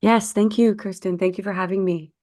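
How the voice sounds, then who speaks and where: A woman's voice: medium pitch, fairly even intonation, fairly quick, dark tone. A woman in her forties, a recovery coach and podcast guest, speaking in an earnest, reflective way. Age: 40s. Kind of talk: casual interview chat.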